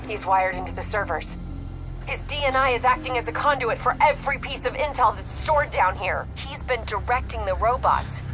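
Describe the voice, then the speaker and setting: A woman acting as a commanding field operative with a fairly high voice. She is clipped and authoritative in game-cutscene style, over loud dramatic music. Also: lightly acted. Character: urgent, commanding female intelligence operative guiding soldiers.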